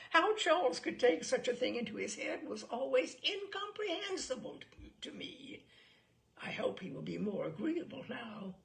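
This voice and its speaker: A woman putting on a self-important, gossipy Regency lady, very animated. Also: high voice, measured pace, vocal fry, heavily acted. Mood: gossipy, smug. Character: self-pitying, gossipy young married Regency gentlewoman writing letters. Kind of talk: dramatised audiobook reading of a classic novel.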